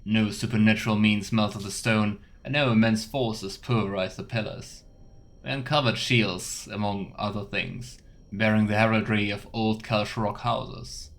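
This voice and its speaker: A man's voice: fairly low pitch, steady tone, moderate pace, a bit bright. A man giving casual let's play commentary and reading game text aloud, musing and wryly sarcastic. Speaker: game streamer.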